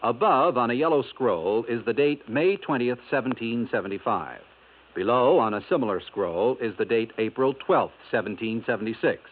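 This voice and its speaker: Man, measured educational narration, lively pitch, tinny phone-like old radio. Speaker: announcer. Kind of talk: scripted informational radio segment. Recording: tinny 1950s radio broadcast.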